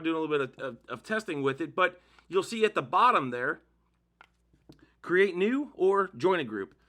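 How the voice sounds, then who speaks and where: A man's voice: a little high, a bit bright. A man narrating a casual how-to demonstration, explaining informally step by step as the host of a tutorial.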